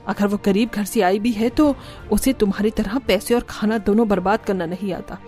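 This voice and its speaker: A woman voicing an outspoken middle-aged mother-in-law in a cartoon story, blunt and fair, quick to scold. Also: medium pitch, fairly even intonation, dark tone, soft background music, close to an everyday voice. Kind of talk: Hindi cartoon story dubbing.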